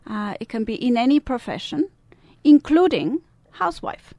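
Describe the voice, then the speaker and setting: A woman's voice: a little high, sing-song, normal speed, mellow, no echo. An older woman from the Italian-speaking part of Switzerland, with a Swiss Italian accent, answering questions on a radio talk show with frequent hesitations and fillers. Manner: hesitant, many fillers and restarts. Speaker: guest, service club member. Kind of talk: radio interview.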